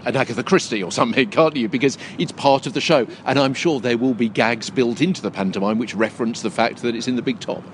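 A man's voice: mid-range voice, slight background noise. Chatty man hosting on location. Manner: chatty, informal. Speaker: podcast host. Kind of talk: on-location interviewing and descriptive commentary. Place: inside a big top tent in a park.

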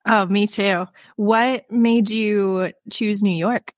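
A woman hosting a book podcast, gushing and enthusiastic, leaning on "like" and quick restarts as she talks. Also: medium pitch, fairly even intonation, no room sound, studio quality. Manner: gushing and enthusiastic, heavy "like" fillers. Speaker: podcast host. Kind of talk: podcast interview.

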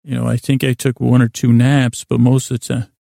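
A man hosting a podcast in a gravelly, creaky voice, rambling through a halting, self-deprecating bedtime monologue that trails off and repeats words. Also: mid-range voice, little pitch movement, warm tone, no room sound, studio quality. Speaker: podcast host.

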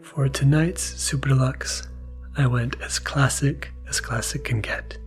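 A man hosting a bedtime podcast, narrating gently in a soft, half-whispered voice for relaxation. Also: steady tone, a little slow. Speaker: podcast host. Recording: music playing behind the voice. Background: mellow music and low-key sound design.

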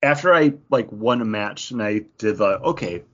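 A man co-hosting a podcast in casual chat, rambling and animated, acting out tapping through game menus. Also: on the low side, moderate pace, highs cut off. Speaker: podcast co-host.

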